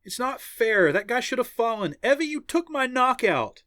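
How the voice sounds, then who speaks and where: Man doing an indignant, high-pitched young woman fighter. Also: big pitch swings, a little fast, sharp tone, no echo, clean studio sound, heavily acted. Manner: complaining, teasing. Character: playful, indignant female arena fighter on a team. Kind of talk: audiobook character dialogue.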